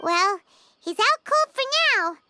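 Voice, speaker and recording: A woman voicing a tiny, earnest, childlike companion creature in a video game, with a squeaky manner. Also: animated, slow pace, heavy background noise, heavily acted. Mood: worried, puzzled.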